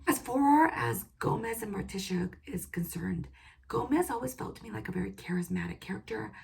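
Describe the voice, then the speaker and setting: Woman w a cold, voice all hoarse and crackly, chatty opinionated show review, kinda high. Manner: casual, opinionated, with frequent "um" and "like". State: hoarse, losing her voice from a cold. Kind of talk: solo review monologue.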